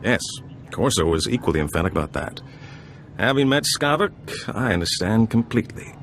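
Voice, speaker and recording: An actor playing a suave older gambler and information broker in a video game, smooth-talking, theatrical and pleased with himself. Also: male voice, fairly low pitch, big pitch swings, brisk, a bit bright, slight background noise. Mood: confiding. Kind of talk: video game dialogue acting.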